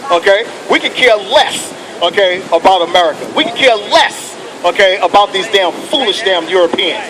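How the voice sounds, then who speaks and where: A man's voice: high-pitched, expressive, normal speed, a crowd in the background, rough recording. A man preaching outdoors on a city street, fiery and profane, pushing each point with "okay" and "come on". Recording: loud street noise outdoors.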